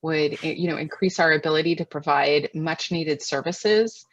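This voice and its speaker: A woman with a low voice and an American accent speaks as an expert guest in a webinar. She explains things earnestly and in a measured way, answering off the cuff. The audio is dull and lo-fi. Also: steady tone. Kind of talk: extemporaneous webinar question and answer. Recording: muffled lo-fi webinar audio, roomy echo.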